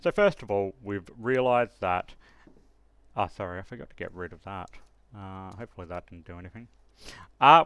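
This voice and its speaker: A man with a fairly low, gravelly voice walks through a software tutorial step by step. He thinks aloud as he explains each setting in an unscripted, instructional way. The recording is close and dry. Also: no echo. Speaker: tutorial host.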